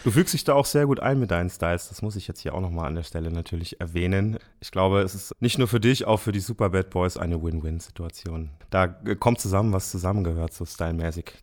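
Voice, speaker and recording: A man with a fairly low voice opens a show. He banters in a chummy, playful way and heaps praise on his friend. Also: fast talker, few pauses, a little mellow. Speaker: show presenter. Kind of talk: casual show intro.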